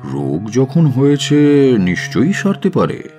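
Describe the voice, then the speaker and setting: An actor playing a reassuring doctor in a radio drama, emphatic and overly soothing. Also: male voice, mid-range voice, huge pitch swings, measured pace, mellow, soft background music.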